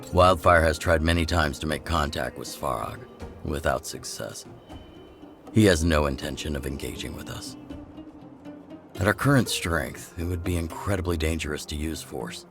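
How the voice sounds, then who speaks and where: A middle-aged man playing a measured, pragmatic, authoritative older leader of an underground resistance in a video game. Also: rumbling low. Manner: measured, authoritative, plain-spoken. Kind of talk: video game dialogue acting.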